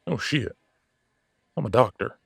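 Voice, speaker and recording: A man playing a cocky, casual werewolf veterinarian in an audio drama, gruff but soft-hearted, with a streetwise way of talking. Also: medium pitch, animated, measured pace, no room sound, studio quality, exaggerated voice. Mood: smug, amused. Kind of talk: audio roleplay drama dialogue.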